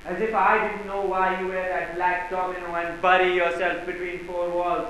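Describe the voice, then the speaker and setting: A man acting a hot-tempered, woman-scorning gentleman in a theatrical farce, declaiming with sarcasm and bullying bluster. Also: high-pitched, completely flat delivery, moderate pace. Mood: mocking. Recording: very echoey room, faint noise.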